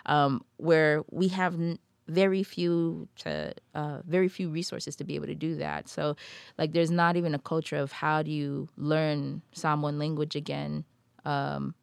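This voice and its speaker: A woman's voice: sits low, flat delivery, a little slow, vocal fry, clean tone, no echo. A woman from Hawaii talking casually and animatedly in a podcast conversation, with many fillers. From Hawaii, United States.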